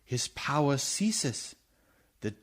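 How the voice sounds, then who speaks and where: Man acting slow, solemn old vampire-hunting professor. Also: medium pitch, sing-song, full character voice. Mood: grave, warning. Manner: solemn, measured, oratorical, stilted foreign phrasing. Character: grave, commanding older male professor and vampire hunter. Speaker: actor in a radio play. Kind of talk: theatrical radio drama acting.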